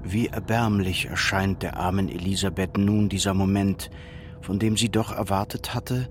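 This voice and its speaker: Low-voiced male radio drama narrator. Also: steady tone, slight background noise. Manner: grave, measured, dramatic.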